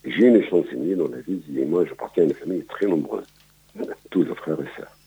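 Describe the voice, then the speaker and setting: Very deep gravelly older man. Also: moderate pace. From Palestine. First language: Arabic. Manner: hesitant, many fillers and repeated words. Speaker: guest. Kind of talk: radio interview, life story. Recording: dull radio broadcast sound.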